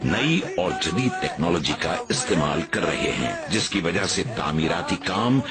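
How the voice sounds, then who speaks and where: A man narrates a documentary in a deep, low voice. His delivery is formal and measured, every phrase carefully weighed. Loud music plays under his voice. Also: animated. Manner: measured, formal Urdu diction.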